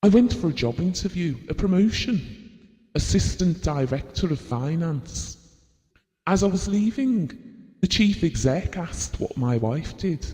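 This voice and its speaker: Devoted middle-aged British husband, audio drama, confessional, mellow, expressive swings in pitch. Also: male voice. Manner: earnest, confessional. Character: earnest, devoted, guilt-prone middle-aged husband and father. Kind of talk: audio drama monologue, reminiscing. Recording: reverberant hall-like room.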